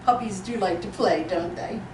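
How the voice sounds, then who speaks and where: A woman reading a cheerful little girl who talks gently to her puppy in a sing-song way. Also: mid-range voice, normal speed, background noise, natural voice. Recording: roomy echo, distant mic.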